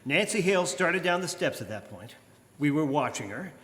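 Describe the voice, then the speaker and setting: Man playing a high-voiced, urbane young radio-drama gentleman sleuth. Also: sing-song, normal speed. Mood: earnest. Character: wealthy young man about town, secret crime fighter. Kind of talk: 1940s radio drama acting. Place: church, live stage performance. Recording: live in echoey hall, music behind.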